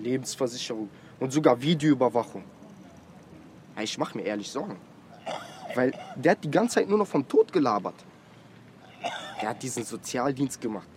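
A man's voice: mid-range voice, a little mellow, a little hiss or hum, close to an everyday voice. A young man playing a blunt, worried working-class lad in a naturalistic radio drama, talking in brash street slang. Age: young adult.

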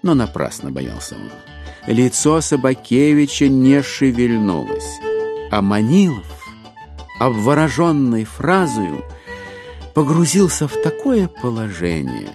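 An actor playing a wry narrator, giving expressive literary narration in an audio drama. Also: male voice, medium pitch, a little slow. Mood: wry storytelling. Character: wry male narrator of a classic satirical novel. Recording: music behind the voice.

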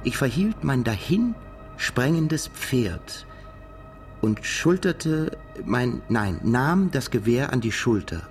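An actor playing a brooding, haunted émigré narrator in a literary radio drama, speaking in a halting, self-correcting way. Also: male voice, mid-range voice, sing-song, soft background music. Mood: absorbed, tense. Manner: halting, self-correcting while translating aloud from English. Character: brooding Russian émigré man, narrator haunted by a past shooting.

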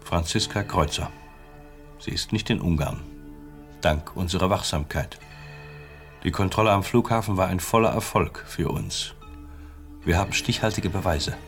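An actor playing a smug East German secret police officer in radio drama dialogue, measured, insinuating and officious. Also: male voice, sits low, soft background music. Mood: cold, officious.

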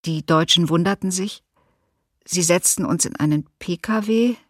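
An actress voicing a woman survivor recalling her youth in a documentary radio drama, measured and serious. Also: lowish voice, dry recording, studio quality, close to an everyday voice. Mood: serious, remembering.

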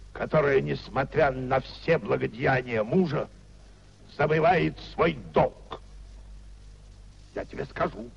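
Husky, bitter ruined nobleman. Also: mid-range voice, exaggerated voice. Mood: cold contempt. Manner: theatrical, declamatory, indignant. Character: proud, bitter, ruined older nobleman raging at his wife. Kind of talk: 1950s Russian radio stage acting. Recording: dull, muffled 1950s radio recording.

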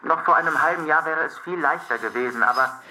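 An actor playing an earnest, defensive adult son in a radio drama, pleading yet restrained. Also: male voice, a little high, fast, bright, forceful tone, slight background noise, rough recording.

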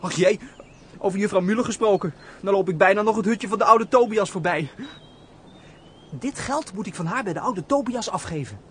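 A man's voice: high voice, moderate pace, a bit bright, husky. An actor playing a naive, chatty young man, a steward's simple son, in a radio play. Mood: musing, chatty.

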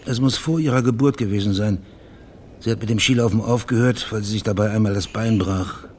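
Low-voiced, matter-of-fact male investigator, acted by a man. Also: fairly quick, a little mellow, slight background noise, natural voice. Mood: insistent. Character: probing, plain-spoken male private investigator named Max, quietly insistent. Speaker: investigator in a crime story. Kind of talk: German radio crime drama acting.

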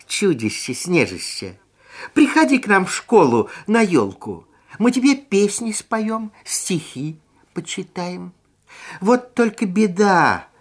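A woman voicing a small, polite, trusting schoolgirl in a childlike, expressive way for a radio fairy tale. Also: fairly low pitch, heavily acted. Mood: friendly, hopeful.

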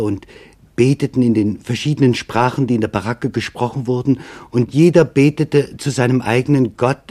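A sombre reporter, played by a male actor, recounts testimony in a literary radio play. His voice is husky and sits in a middle register. The delivery is grave, measured and reflective. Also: mid-range voice, a little mellow, natural voice. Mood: grave, hushed. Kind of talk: scripted literary radio narration.

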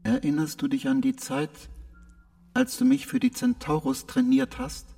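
An actor playing a gentle, earnest spaceship computer in a radio drama, speaking deliberately and pensively. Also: male voice, very high voice, sing-song, creaky, exaggerated voice. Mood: wistful, resigned.

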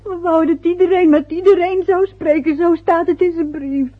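High-pitched, flustered mother, tinny radio. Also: steady tone, moderate pace. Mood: disappointed, tearful. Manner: flustered, hesitant, exclaiming. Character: flustered, sentimental mother phoning her faraway son's family. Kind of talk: radio play acting. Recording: tinny, narrow-band old radio recording.

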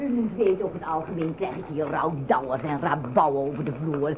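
An actress playing a chatty, flattering, slyly friendly innkeeper in a Dutch radio play. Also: female voice, sits low, fairly quick, lightly acted. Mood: chatty, amused. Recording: muffled, slightly noisy radio recording.

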